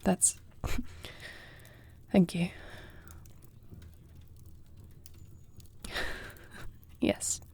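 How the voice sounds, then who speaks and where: A woman playing a compassionate fantasy warrior queen in an intimate audio roleplay, speaking softly and earnestly in measured, formal phrases with some breathiness. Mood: tender, reflective.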